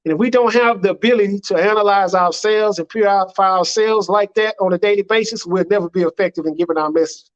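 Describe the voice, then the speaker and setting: A man's voice: high voice, fast, few pauses. An American man speaking as an imam, giving an earnest, plainspoken religious address that leans on repeated phrases. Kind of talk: sermon, religious public address. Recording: dull, lo-fi recording.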